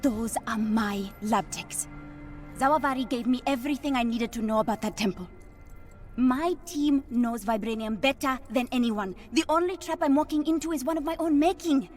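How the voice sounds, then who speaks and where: An actress playing a fierce, confident young princess and inventor in a video game, with an African accent and a brisk manner. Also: female voice, fairly high pitch, darkish tone, faint music behind, natural voice. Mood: fierce, determined. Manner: brisk, self-assured. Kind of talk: video game cutscene acting.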